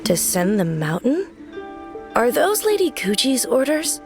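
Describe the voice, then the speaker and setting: A woman's voice: a little high, sing-song, measured pace. A woman playing a polite, dutiful young shrine maiden in a video game, respectful and earnest. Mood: concerned. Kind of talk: video game character acting. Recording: background music.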